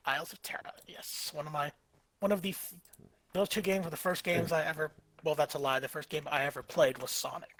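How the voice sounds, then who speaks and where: A young man chatting casually while streaming a game late at night, tired and rambling, restarting his sentences as he gets excited about old games. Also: a little high, brisk, dry recording. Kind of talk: casual chat while gaming.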